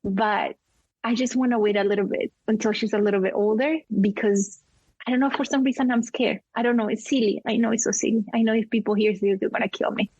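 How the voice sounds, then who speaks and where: A woman's voice: on the high side, steady tone, fast talker, darkish tone, husky, no echo, highs cut off. A woman in her forties from Colombia with a Colombian Spanish accent, whose first language is Spanish, talking candidly and emotionally as an interview guest, with many fillers and restarts. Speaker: guest, cinematographer. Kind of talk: casual interview conversation.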